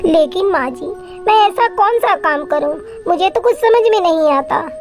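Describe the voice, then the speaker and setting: Young female cartoon bird , woman acting: extremely high, eager, coaxing daughter-in-law, light music bed. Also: expressive, normal speed. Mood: respectful. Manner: respectful, coaxing. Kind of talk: Hindi children's cartoon acting.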